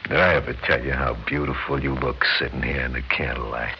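An actor playing a hot-tempered but devoted young working-class American husband in a classic radio drama, with rough, stammering speech. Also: male voice, rumbling low, flat delivery, brisk. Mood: tender. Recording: muffled old radio recording, faint noise.

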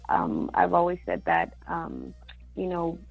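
A woman's voice: lowish voice, huge pitch swings, unhurried, gravelly, highs cut off, faint music behind. A woman from Mexico, a singer-songwriter, talking casually in an interview, with many fillers and Spanish words mixed in.

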